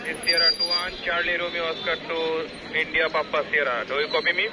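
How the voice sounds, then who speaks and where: A man's voice: a little high, little pitch movement, extremely slow. A man with a Bangladeshi accent calling as a ham operator over a two-way radio, giving phonetic call signs. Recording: muffled radio signal with loud noise.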